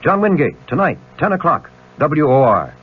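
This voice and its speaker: A man's voice: a little high, big pitch swings, normal speed, noisy background. A man giving a punchy, scripted news promo as a radio host, emphatic and addressing the listener directly. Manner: emphatic, conversational questions to the listener. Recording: narrow, tinny old radio broadcast.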